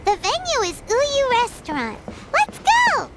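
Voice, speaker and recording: A woman voicing a tiny, excitable childlike fairy companion in a video game, squeaky and bubbly. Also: a little slow, noisy background, heavily acted. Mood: chirpy. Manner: bubbly, speaks in exclamations. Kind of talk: English game voice acting. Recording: loud music over the voice. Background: game background music.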